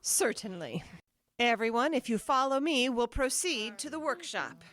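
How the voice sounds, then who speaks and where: An actress playing an affectionate, practical wife in an audio drama, sweet and matter-of-fact. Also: female voice, fairly high pitch, lively intonation, moderate pace, fairly bright tone. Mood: polite. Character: affectionate, practical wife who runs tours.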